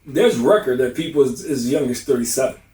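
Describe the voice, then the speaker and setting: Fast, earnest middle-aged man. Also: mid-range voice, lively intonation, a little mellow. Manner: earnest, advising, emphatic. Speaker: discussion participant. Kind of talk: candid group discussion. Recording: roomy, distant mic.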